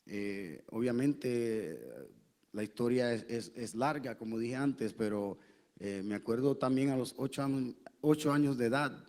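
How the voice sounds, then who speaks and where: A man, a pastor, tells his faith testimony in a church interview in an earnest, halting way, pausing on many fillers and also interpreting his wife's words. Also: mid-range voice, darkish tone. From Puerto Rico. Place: church sanctuary.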